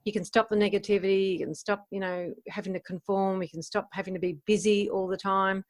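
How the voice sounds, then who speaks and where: A woman's voice: medium pitch, steady tone, brisk. An Australian woman speaks in a mid-range voice in a casual interview. She is plain-spoken and encouraging, in the conversational style of a podcast host. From Gold Coast, Queensland, Australia.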